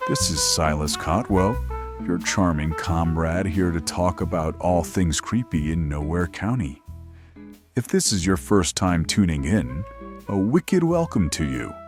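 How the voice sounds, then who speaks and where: A man playing a droll, macabre late-night radio horror host, theatrical and sardonic with deadpan humour. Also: low voice, unhurried. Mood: menacing, courtly. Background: faint music.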